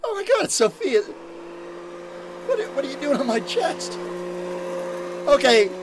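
A woman's voice: on the high side, wildly swooping pitch, slow, background noise, full character voice. An actress playing a frightened, groggy woman trapped at home, in old-time radio horror style, stammering and dramatic. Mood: terrified, angry. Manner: stammering, breathless exclamations, dramatic.

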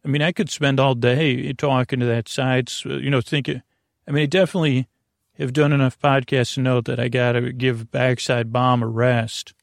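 A gravelly man with a mid-pitched voice hosts a bedtime podcast, recorded close and dry. He meanders in a halting, long-winded way, starting thoughts and wandering away from them. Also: flat delivery, no echo. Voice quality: creaky, gravelly. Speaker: podcast host. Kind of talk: rambling bedtime sleep podcast monologue.

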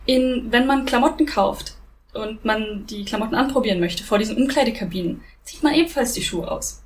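A young woman explaining things in a relaxed, giggly casual chat. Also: fairly high pitch, fairly quick, slight background noise. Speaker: co-host. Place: living in Japan.

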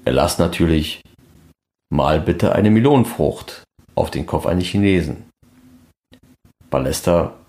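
A man's voice: sits low, lively intonation, a little slow, long pauses, darkish tone. A man presenting a podcast in scripted, documentary-style narration.

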